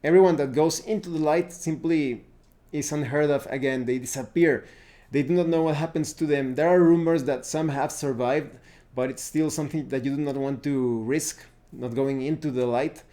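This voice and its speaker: A man's voice: a little high, normal speed, warm tone. A man speaking as a review host, explaining and recommending in a casual, unscripted way with hesitations and self-corrections. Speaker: tabletop game review host.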